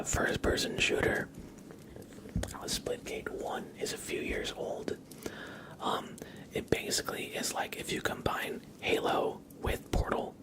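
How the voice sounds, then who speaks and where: A young man whispering casual, rambling chat as the host of an ASMR livestream, often hesitating. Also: poor audio.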